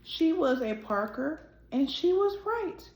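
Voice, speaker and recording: In an echoey room, a woman hosts a storytime for children. Her voice sits fairly high, and she takes her time, deliberately addressing the young listeners as she reads. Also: very animated, a little mellow. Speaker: host of a children's read-aloud show. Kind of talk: children's storybook read-aloud. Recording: roomy, echoing sound.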